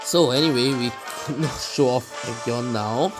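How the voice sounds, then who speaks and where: A man giving casual, unscripted commentary on a mobile game, speaking haltingly and often pausing mid-sentence. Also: mid-range voice. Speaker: gameplay video creator. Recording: music behind the voice. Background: loud game music.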